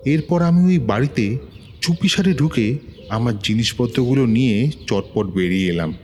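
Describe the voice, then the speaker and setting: A man's voice: mid-range voice, expressive, normal speed, darkish tone, a little hiss or hum. A man narrating a horror story in the first person, in a tense, urgent way.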